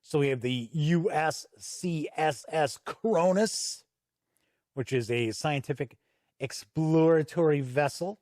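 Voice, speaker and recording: A man's voice: fairly high pitch, slow, a little mellow, dry recording, studio quality. A man hosting an unscripted tabletop game review, talking conversationally and explaining as he goes. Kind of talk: unscripted review commentary while paging through a product.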